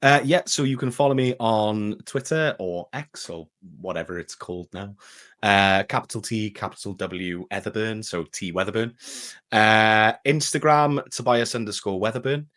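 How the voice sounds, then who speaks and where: A man's voice: fairly low pitch, moderate pace, fairly bright tone. A man, a voice actor chatting casually as an interview guest. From Wales.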